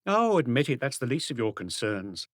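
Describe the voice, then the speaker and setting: A man's voice: medium pitch, big pitch swings, moderate pace, no room sound, studio quality, lightly acted. A man voicing a tough, distrustful middle-aged woman with curt, scornful retorts in an audiobook. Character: stony-faced, tough, distrustful middle-aged French woman, defiant and defensive. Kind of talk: audiobook character dialogue.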